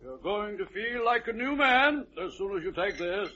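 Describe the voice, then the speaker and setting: An actor playing a brisk, fussy, coaxing doctor with emphatic delivery in an old radio drama. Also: male voice, high-pitched, animated, bright, forceful tone, heavily acted. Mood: brisk, insistent. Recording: muffled, rough vintage radio recording.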